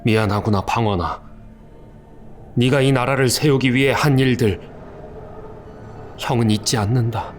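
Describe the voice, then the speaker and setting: An actor playing a soft-spoken older brother prince who urges calm, in an audio drama. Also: male voice, medium pitch, a little slow, pauses often, faint music behind, close to an everyday voice. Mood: resigned. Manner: soft, consoling.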